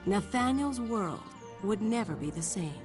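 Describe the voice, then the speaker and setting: Woman acting frontier heroine, wry, tough, lively intonation, game cutscene narration, clear musical backing. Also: mid-range voice, measured pace, music in the background, close to an everyday voice. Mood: solemn storytelling. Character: tough, wry frontier businesswoman narrating her family's saga.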